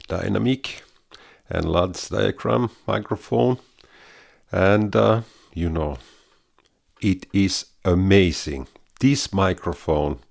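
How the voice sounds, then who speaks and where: A man speaks in a very deep, gravelly soft-bass voice, slowly and haltingly, pausing on many fillers. He sounds close and dry on a studio mic in a small home studio. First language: Finnish. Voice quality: very low baritone voice. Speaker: home-studio hobbyist, channel host. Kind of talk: casual gear demo vlog. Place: small home studio room under a sloped ceiling. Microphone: studio mic close up, headphones on.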